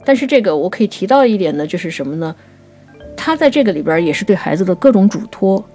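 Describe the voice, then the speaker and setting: A woman's voice: mid-range voice, big pitch swings, fast talker, mellow, soft background music. A woman co-hosting a talk on poetry, explaining things in a reflective, conversational way. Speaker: podcast writer and co-host explaining poetry. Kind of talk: conversational literary discussion.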